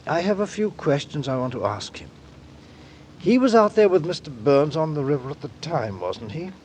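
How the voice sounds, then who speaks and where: An actor playing a methodical, formal police inspector with a measured, probing manner, in 1940s radio style. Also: male voice, fairly high pitch, sing-song, a little mellow, slight background noise.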